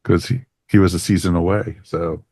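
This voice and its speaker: A man with a very low voice talks casually as a podcast co-host. He sounds enthusiastic and chatty, reviewing in a loose conversational way, recorded close and dry. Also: fairly even intonation, moderate pace, gravelly, a little mellow, no room sound.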